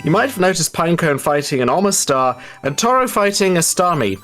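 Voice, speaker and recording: A man giving live gameplay commentary, explaining things step by step with halting pauses. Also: on the high side, expressive, a bit bright. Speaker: speedrun commentator. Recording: music behind the voice.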